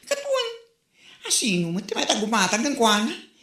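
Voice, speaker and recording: A woman's voice: on the high side, huge pitch swings, moderate pace, fairly bright tone. An actress playing a catty, mocking neighbour woman in a comedy audio drama, with an Ilocano accent from the northern Philippines. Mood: mocking, gossiping. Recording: echoey room.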